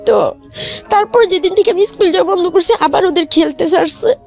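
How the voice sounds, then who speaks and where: A woman's voice: extremely high pitch, brisk, creaky, faint music behind. A woman with a Bangladeshi accent earnestly recounting her own life story in colloquial speech as an interview guest. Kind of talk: personal life-story narration in a radio interview.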